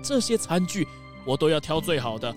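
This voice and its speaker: A man's voice: a little high, sing-song, a little fast, soft background music. A man playing a boastful, genial, very rich count in a children's mystery audio drama.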